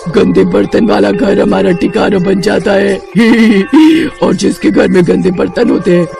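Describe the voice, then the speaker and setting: A man voicing a menacing witch ghost in a cartoon story, chanting slowly in an eerie sing-song. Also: sits high, a little mellow, heavily acted. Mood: menacing, gleeful. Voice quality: creaky, eerie. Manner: slow, drawn-out sing-song. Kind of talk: Hindi cartoon moral story. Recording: music behind the voice.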